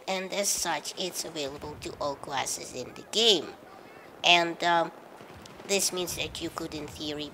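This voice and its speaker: Woman, deep voice, stuffed-up with a cold, casual gameplay commentary, rambling and wry, faint background noise. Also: fairly bright tone, poor audio. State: congested with a head cold. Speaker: video game commentator.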